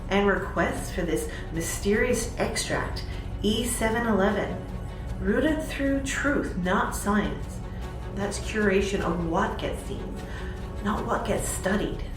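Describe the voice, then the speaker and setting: A woman playing a sharp, skeptical military analyst in a sci-fi audio drama, clipped and analytical. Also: mid-range voice, lively intonation, takes it slowly. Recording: roomy echo under prominent music.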